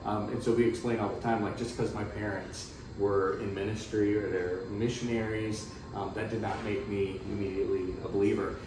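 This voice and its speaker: Male guest, 30s, relaxed and rambling, low voice, distant echoey noisy recording. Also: brisk, few pauses. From grew up in Thailand. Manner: relaxed, rambling with frequent fillers. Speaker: missionary guest. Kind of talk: casual storytelling. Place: room in Thailand.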